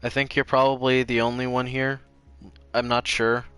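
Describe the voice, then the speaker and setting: A man's voice: mid-range voice, little pitch movement, normal speed, a bit bright, smooth, dry recording, faint music behind. A man chatting casually and a bit ramblingly to viewers while livestreaming a video game. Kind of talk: casual chat to stream viewers.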